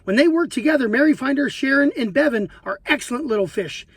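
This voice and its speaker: Playful male storyteller, slightly husky. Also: extremely high pitch, lively intonation, fast, no echo, studio quality. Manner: playful, chatty, asks listeners questions. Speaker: children's book reader. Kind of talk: animated read-aloud storytelling with asides to young children.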